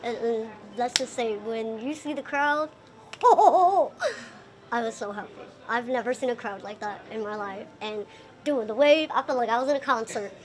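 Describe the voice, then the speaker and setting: A young woman with a very high voice talks casually in an interview. She is chatty and often slips into quoting other people as she explains things. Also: normal speed. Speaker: performer being interviewed. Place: indoor lounge by a window.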